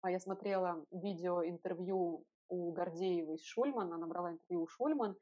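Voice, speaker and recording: A woman's voice: lowish voice, normal speed, warm tone, lo-fi sound. A woman co-hosting a podcast in casual discussion, arguing her opinions in a reasoned way with rhetorical questions. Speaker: podcast co-host.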